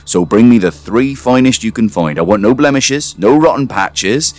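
A man's voice: mid-range voice, animated, normal speed, pitched above the performer's own voice, close to an everyday voice. A man voicing a big, jovial but commanding wooden father creature giving orders. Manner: firm and commanding, forceful.

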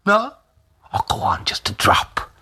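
A man playing an agitated, protective old grandfather in a literary reading, excitable and insistent. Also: measured pace. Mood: eager, welcoming. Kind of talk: acted dialogue in a literary reading.

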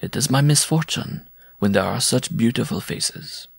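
A man's voice: mid-range voice, normal speed, gravelly, slightly rough, no echo, studio quality, pitched above the performer's own voice. A man voicing a vain, middle-aged circus rider and flirt, suave and theatrical, in a story reading. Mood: polite. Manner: suave, theatrical, self-satisfied.

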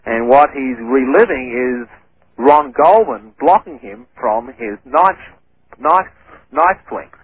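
A man's voice: medium pitch, takes it slowly. A man who stutters, a reverse speech researcher speaking as a guest in a radio interview, hesitant and full of fillers and restarts. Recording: dull lo-fi radio audio.

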